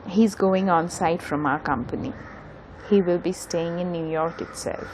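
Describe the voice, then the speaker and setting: A woman's voice: on the low side, a little slow, a little mellow, natural voice. A woman acting an earnest, protective young woman in love in an amateur audio drama, speaking expressively with an Indian Bengali accent. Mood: earnest, pleading. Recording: faint background noise.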